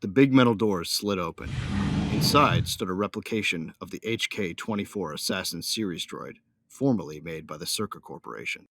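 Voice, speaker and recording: Low-voiced man acting a devoted young space captain. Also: expressive, moderate pace, a bit bright, heavily acted. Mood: earnest, tender. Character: young newlywed starship captain, loyal son of smugglers, devoted husband. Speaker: first-person narrator and character. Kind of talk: dramatic first-person audio drama narration.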